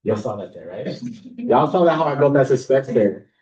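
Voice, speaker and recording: A young man giving a casual but confident talk on public speaking to a student club, using a lowered, authoritative tone. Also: lively intonation, normal speed, a little mellow. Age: young adult. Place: classroom or meeting room. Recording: roomy, distant mic, dull sound.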